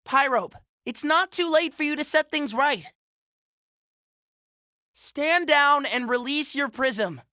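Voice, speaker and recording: A woman voicing an earnest, kind young hero in an animated game. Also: high-pitched, takes it slowly, pauses often, no echo, clean studio sound, full character voice. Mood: earnest, pleading.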